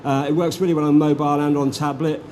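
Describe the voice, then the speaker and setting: A man introducing himself on a conference panel, brisk and chatty with a few fillers. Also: a little high, flat delivery, darkish tone. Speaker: panelist, industry association chief executive. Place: conference hall panel stage. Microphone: panel microphone. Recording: noisy conference hall recording.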